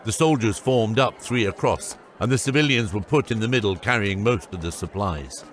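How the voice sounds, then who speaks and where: Low-pitched male fantasy narrator. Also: moderate pace. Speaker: audiobook narrator. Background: background music.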